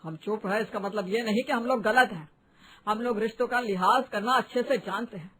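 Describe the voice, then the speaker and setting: A woman's voice: fairly high pitch, brisk, a bit bright, husky, rough recording. A woman voicing an outspoken, modern-minded family member in a cartoon drama, indignant, challenging and scolding. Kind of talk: animated family drama voice acting in Hindi.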